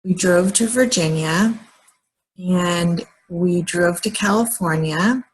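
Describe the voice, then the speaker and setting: An American woman in her late thirties talking casually and haltingly in a personal vlog, often pausing mid-sentence and rambling along. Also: lowish voice, husky, low-quality recording. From United States, moved often as a military child. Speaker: vlogger.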